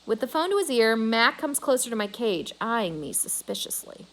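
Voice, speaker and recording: A woman's voice: on the high side, big pitch swings, moderate pace, low-quality recording. A woman reading a children's novel aloud as a storyteller.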